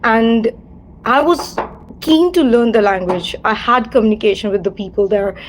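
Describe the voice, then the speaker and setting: A woman's voice: a little high, normal speed, slight background noise, low-quality recording. A woman with an Indian English accent, hosting a livestream, talking in a discursive way and circling back to the same set phrases. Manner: discursive, repeats set phrases, frequent fillers. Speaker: livestream host. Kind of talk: casual livestream monologue.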